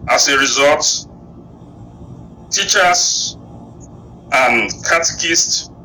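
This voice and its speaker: A Nigerian man lectures on history at an unhurried, measured pace. His voice is very bright and forceful, and he lays out each point deliberately. A faint hiss of noise sits under the recording. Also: medium pitch, low-quality recording. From Edo, Nigeria. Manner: slow, deliberate lecturing with long pauses. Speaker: guest historian. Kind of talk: explaining history in an interview.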